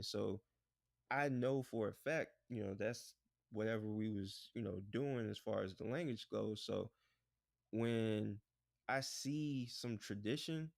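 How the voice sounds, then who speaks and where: A man co-hosting a podcast in relaxed, rambling casual chat, often saying "bruh" and "like". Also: medium pitch, vocal fry, no room sound, studio quality. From United States. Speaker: podcast co-host.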